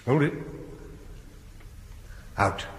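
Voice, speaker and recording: An actor playing a jovial, stammering English bomb disposal officer in a radio sitcom. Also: male voice, very slow. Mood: fussy, absorbed. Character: chirpy, dithering male bomb disposal officer. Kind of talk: 1970s radio sitcom acting. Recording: echoey, noisy old radio recording.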